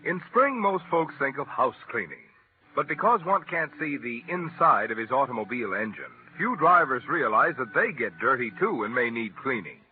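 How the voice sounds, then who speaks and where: A man's voice: medium pitch, lively intonation, normal speed. A man announcing on a 1940s radio show, reading scripted sponsor copy and credits. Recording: muffled vintage 1940s radio broadcast.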